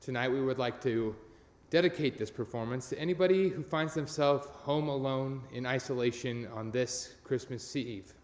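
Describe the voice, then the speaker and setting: A man's voice: medium pitch, moderate pace, few pauses, darkish tone. A man giving an informal, sincere and self-deprecating welcome as host of a live radio play. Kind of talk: informal welcome speech to an online audience. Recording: echoey room with long reverb.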